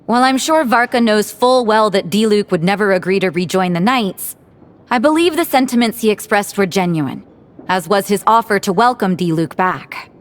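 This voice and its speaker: A woman's voice: medium pitch, normal speed, slight background noise, close to an everyday voice. A woman playing a worldly, irreverent young church sister in a video game, with a dry, composed delivery. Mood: earnest, measured. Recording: background music under the voice.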